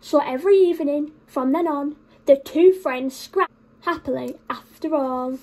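Nursery teacher type, female, twenties, high voice, unhurried, animated storytelling for little ones. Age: 20s. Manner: expressive, exaggerated child-directed delivery. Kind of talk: animated storytime reading for young children. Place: small furnished room at home, indoors.

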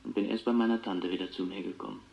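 A reflective clairvoyant man in a German-style taped radio play monologue. His low voice crackles with fry and moves in measured, halting phrases. The tape sounds dull, with faint noise behind him. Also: fairly even intonation. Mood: somber, haunted. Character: clairvoyant man calmly recalling his traumatic childhood.

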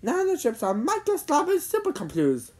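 Boy inventor, acted by a woman: earnest, dramatic, lifted pitch, very lively storybook read. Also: a little fast. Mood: eager. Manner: exclamatory, explanatory.

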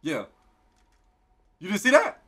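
A young man in his twenties, a streamer giving crude, loud, excitable commentary while playing a game, talking into a headset in his bedroom. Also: high-pitched, takes it slowly, slightly rough. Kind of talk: crude live gaming commentary. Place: pink-lit bedroom, indoors.

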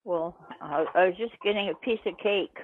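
A woman's voice: lowish voice, moderate pace. Older woman on a tinny phone line. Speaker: prank call recipient. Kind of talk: unscripted phone conversation. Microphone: telephone.

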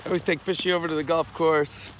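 A young man from Cape Cod, hoarse from a cold, chatting casually as a vlog host into a handheld camera and talking to his dog. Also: fairly high pitch, little pitch movement, fast talker, heavy background noise. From Cape Cod, Massachusetts. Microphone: handheld camera at arm's length. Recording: music playing behind the voice.

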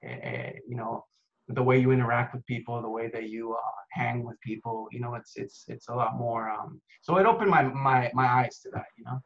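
A man's voice: mid-range voice, brisk, gravelly. A man in his thirties from Costa Rica, an actor chatting casually in an interview over a video call, laid-back and full of "dude" and "man". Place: home room with window, indoors. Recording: dull lo-fi video call audio.

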